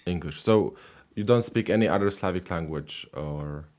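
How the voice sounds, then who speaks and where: A man's voice: low-pitched, moderate pace. A man hosting a live language call-in stream, chatting casually with callers with lots of fillers and repeats. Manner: relaxed, many fillers and repeated words.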